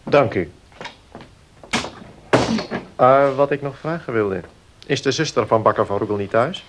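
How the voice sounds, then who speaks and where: An actor playing a courteous, uneasy notary in a Dutch radio play, formal and hesitant. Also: male voice, medium pitch, measured pace, background noise, lightly acted. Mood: polite.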